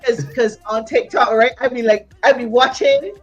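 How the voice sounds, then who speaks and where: A woman from Barbados, a singer and entrepreneur, talking in an animated, casual chat full of "you know". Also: fairly high pitch, normal speed, lo-fi sound, soft background music.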